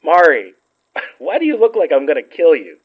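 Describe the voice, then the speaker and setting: A man's voice: fairly high pitch, wildly swooping pitch, moderate pace, breathy edge, narrow, tinny audio, exaggerated voice. An actor playing an affectionate, teasing young man in an audio drama, playful and reassuring. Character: Murphy, teasing, affectionate, open-hearted young friend and ex. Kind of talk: naturalistic audio drama acting.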